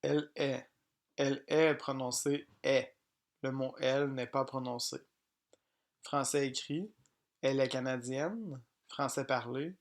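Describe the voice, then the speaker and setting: Slow, flat-voiced man drilling pronunciation. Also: medium pitch. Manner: reads example sentences slowly and evenly, each one twice. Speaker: French language teacher.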